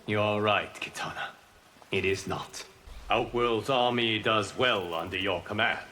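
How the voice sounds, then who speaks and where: An actor playing a solemn fire god and guardian in a video game, speaking in a measured, formal, cryptic way. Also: male voice, lowish voice, sharp tone, a little hiss or hum.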